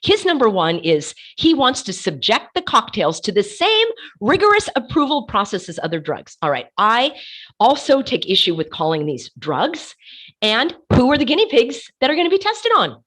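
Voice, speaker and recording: A woman hosting an online commentary show, hoarse from laryngitis, talking passionately and hammering her points with repeated words. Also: a little high, huge pitch swings, normal speed, a bit bright. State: losing her voice. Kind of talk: casual commentary while sharing her screen.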